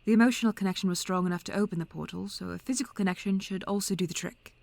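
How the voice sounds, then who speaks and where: A woman voicing an elegant, mysterious mentor who speaks in a measured, teasing way and often drops into whispers, in a fan audio drama. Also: mid-range voice, creaky, darkish tone, dry recording, lightly acted.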